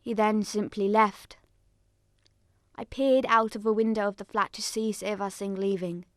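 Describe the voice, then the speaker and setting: A woman narrator giving a scripted first-person dramatic account in a solemn, measured storytelling manner. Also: medium pitch, steady tone, dry recording, studio quality.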